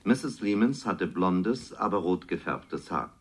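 A very low-pitched, sober radio news reader. He delivers a formal scripted bulletin as part of a radio drama. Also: animated, slow, husky, heavily acted. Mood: matter-of-fact.

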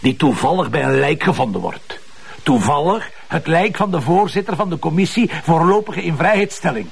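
An actor playing a blunt, sardonic, impatient police inspector with a Flemish accent in a crime drama. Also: male voice, on the high side, lively intonation, moderate pace, gravelly, slightly rough, heavily acted. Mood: angry, insistent. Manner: confrontational, sardonic. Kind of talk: naturalistic TV crime drama dialogue.

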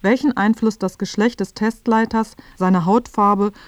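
A woman's voice: medium pitch, fairly even intonation, unhurried, dry recording. A woman reading scripted narration for a radio feature.

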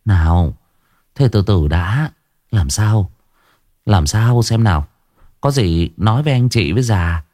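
A man voicing a brisk, practical wife who coaxes a crying relative to calm down. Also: fairly low pitch, no echo, studio quality. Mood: coaxing. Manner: brisk, coaxing.

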